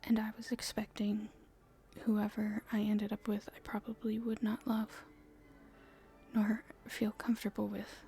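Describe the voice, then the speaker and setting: A woman playing a playful young princess in love, speaking softly and breathily in an intimate ASMR romance roleplay, with gentle, halting delivery. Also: mid-range voice, monotone. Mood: tender, earnest. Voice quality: breathy, whispery, soft.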